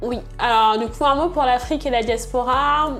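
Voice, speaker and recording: A woman's voice: fairly high pitch, normal speed, fairly bright tone, faint music behind. A young woman from Senegal who grew up in France, speaking as an entrepreneur answering interview questions hesitantly, with many fillers and restarts. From Senegal, born in Congo, raised in France. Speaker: entrepreneur and channel creator.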